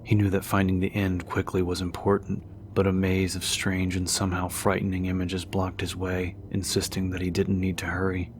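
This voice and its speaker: A man's voice: low voice, monotone, moderate pace, hardly any pauses, slight background noise. A man narrating a dramatic story in a scripted audiobook style.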